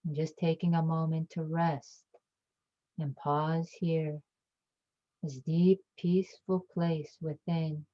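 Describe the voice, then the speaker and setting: A woman with a low voice leads a guided meditation in a calm, soothing way. Her delivery is very slow and level, with gentle phrases set apart by long pauses. The recording sounds a little dull.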